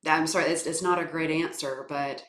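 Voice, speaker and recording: A woman from South Carolina with a naturally low voice, giving thoughtful, hesitant interview answers full of fillers and restarts. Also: fairly even intonation, a little fast, fairly bright tone. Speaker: guest, voice coach and actor. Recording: echoey room.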